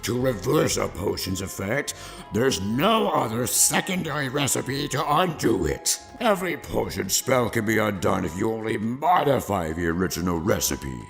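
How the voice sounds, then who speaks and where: A man voicing a stern creature mentor of enchantments, gravelly and emphatic, in a cartoon fan drama. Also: lowish voice, sing-song, moderate pace, faint music behind. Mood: instructive. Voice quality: creaky, gravelly. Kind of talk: fan fiction audio drama acting.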